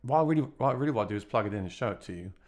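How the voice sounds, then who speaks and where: A man with a deep voice, a gigging musician, chatting plainly through a gear review. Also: animated, rapid-fire, a little mellow, no echo.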